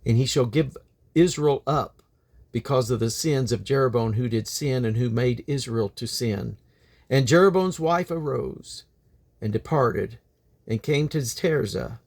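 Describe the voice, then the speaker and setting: A man reading from the King James Bible in a solemn, deliberate manner, with emphatic phrasing and drawn-out endings. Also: medium pitch, darkish tone. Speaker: Bible reader.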